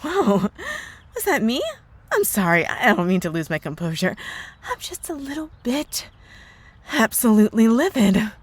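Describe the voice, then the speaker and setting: A woman playing a possessive young witch girlfriend in an ASMR roleplay, cooing sweetly with drawn-out words and a menacing undertone. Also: on the high side, very animated, slightly rough, no echo. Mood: seething, mocking. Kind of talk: ASMR roleplay audio drama.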